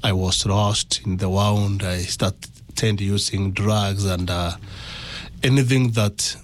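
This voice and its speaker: Slow-speaking Kenyan man, low voice. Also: fairly even intonation. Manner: halting, deliberate phrasing. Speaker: interview guest. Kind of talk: personal testimony.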